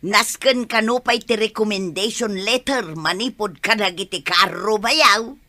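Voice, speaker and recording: A woman's voice: medium pitch, measured pace, sharp tone. A woman with an Ilocano accent acting an exasperated, scolding village woman in a comedy drama. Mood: matter-of-fact, listing.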